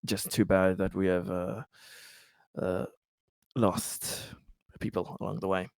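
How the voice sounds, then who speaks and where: A man speaks in character at a tabletop game. His voice is fairly low and clear, recorded very dry. He chooses formal words and pauses often as he builds each sentence. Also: little pitch movement, no echo. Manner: hesitant, measured, formal wording. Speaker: tabletop roleplaying player. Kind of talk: in-character tabletop roleplay talk.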